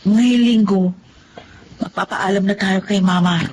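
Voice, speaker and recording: A woman's voice: medium pitch, steady tone, unhurried, a little mellow, slight background noise, close to an everyday voice. A woman with a Filipino accent playing a stubborn, emotional young newlywed wife in a radio drama. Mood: soft, apologetic. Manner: emotional, pleading.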